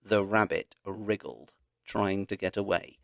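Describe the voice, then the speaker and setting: Male children's audiobook narrator, low and slow, expressive and dramatic. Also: vocal fry, dry recording, clean studio sound. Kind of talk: expressive children's story read-aloud.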